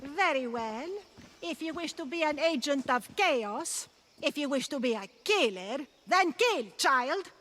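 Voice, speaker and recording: An actress voicing a cold, zealous cult priestess in a video game cutscene, speaking softly with quiet menace. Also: female voice, high voice, animated, unhurried, slight background noise. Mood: menacing. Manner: soft, menacing, condescending. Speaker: cult leader villain.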